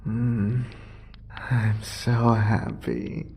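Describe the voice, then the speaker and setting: A man playing a deranged, possessive male lover in a horror audio roleplay, speaking in breathy, halting, intimate fragments. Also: sing-song, darkish tone, a little hiss or hum, heavily acted. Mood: wounded, blissful. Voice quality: breathy, whispery, creaky low voice. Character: obsessive, deranged yandere male lover, tender and murderous.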